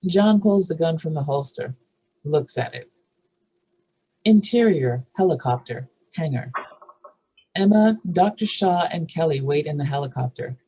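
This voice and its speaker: Husky narrator reading a screenplay aloud. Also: mid-range voice, expressive, a little slow, long pauses, darkish tone, highs cut off. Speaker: narrator reading stage directions. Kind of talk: scripted narration at a table read.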